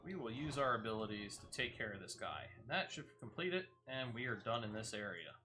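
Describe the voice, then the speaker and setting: A man's voice: lowish voice, animated, fairly quick, noisy background. A man giving casual gameplay commentary, explaining his moves step by step as he plays and offering tips to viewers. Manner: explains step by step, talking through own actions. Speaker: game streamer and player.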